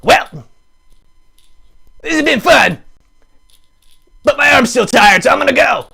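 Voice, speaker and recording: Man doing a high, creaky goofy puppet host. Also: lively intonation, slow, pushed and bright, exaggerated voice. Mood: teasing. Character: chatty goofy puppet hosting a live show. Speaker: live puppet show host. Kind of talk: improvised comic rambling to live chat.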